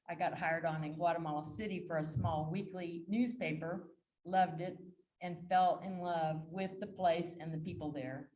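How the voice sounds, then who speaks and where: A middle-aged woman presents to a club room. Her voice is soft and a little low, and she talks in a folksy, easygoing way. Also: slight background noise, rough recording. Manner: soft-spoken, folksy, laughing asides. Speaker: guest speaker.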